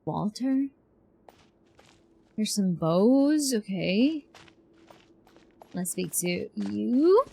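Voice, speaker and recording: A woman's voice: mid-range voice, sing-song, extremely slow, creaky, long pauses, mellow, husky. A woman streamer giving casual live commentary as she reacts to a video game. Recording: music under the voice. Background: game music.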